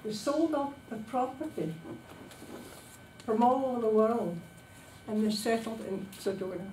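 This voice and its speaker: Echoey, distant woman giving a slow speech. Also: on the high side, animated, mellow. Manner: dramatic, emphatic delivery in short phrases with pauses. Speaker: club speaker giving a prepared speech. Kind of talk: public speaking, descriptive travel storytelling. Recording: distant, echoey room recording with background noise.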